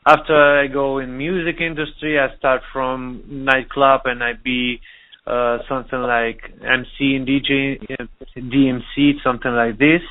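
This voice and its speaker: A man with a Russian accent speaks in halting, non-native English over a thin, tinny phone line. He often stops to search for words, at an ordinary mid pitch. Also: flat delivery. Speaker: DJ and producer.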